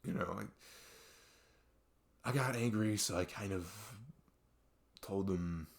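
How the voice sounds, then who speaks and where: A man playing a young best friend who confides in a halting, rambling, exasperated way in an ASMR audio roleplay. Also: low-pitched, creaky, exaggerated voice. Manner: rambling, halting, exasperated sighs and nervous laughs. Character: young male best friend venting about arguing with parents.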